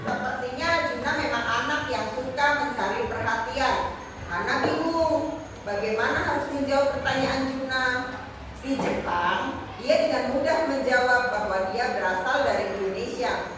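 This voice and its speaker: Woman reading a kids story slow n clear, far from the mic, lots of echo and background noise. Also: sits high. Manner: slow, deliberate, expressive reading. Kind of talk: reading a children's story aloud. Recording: echoey hall, distant mic, noisy.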